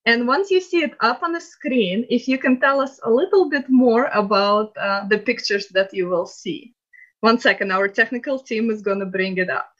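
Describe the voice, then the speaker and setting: A woman's voice: a little high, normal speed, a bit bright, lo-fi sound. A woman hosting a live interview, reading friendly introductions with some hesitation. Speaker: host.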